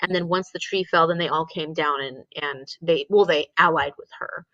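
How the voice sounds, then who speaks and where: A woman's voice: low-pitched, normal speed, a bit bright, no echo, lo-fi sound. A woman hosting a casual live book discussion, thinking aloud and often posing questions. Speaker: teacher.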